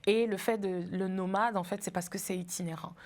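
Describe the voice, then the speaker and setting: A woman from Cameroon in her forties talks as a guest in a TV interview. Her pitch is mid-range. She speaks in a friendly way and laughs easily, with thoughtful pauses as she explains her ideas. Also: steady tone. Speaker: festival founder, guest. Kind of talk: TV interview conversation.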